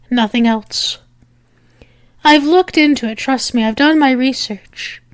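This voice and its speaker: A woman playing a lovesick demon in an intimate ASMR roleplay, pleading earnestly and haltingly with her angel lover. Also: sits high, no room sound. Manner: earnest, halting, repeating herself in pleas.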